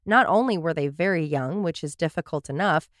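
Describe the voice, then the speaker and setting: Wry woman podcast host, low dry voice. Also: brisk, no echo. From Portland area, USA. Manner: wry, sarcastic asides. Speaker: podcast host telling a true crime case. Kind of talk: scripted true crime narration with asides.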